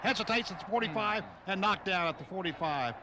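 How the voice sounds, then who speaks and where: High-voiced man calling football on noisy radio. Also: brisk. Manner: clipped running description, excitable on big plays. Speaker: radio play-by-play announcer. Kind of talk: live football radio commentary. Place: outdoor stadium, radio broadcast. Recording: noisy outdoor stadium radio broadcast.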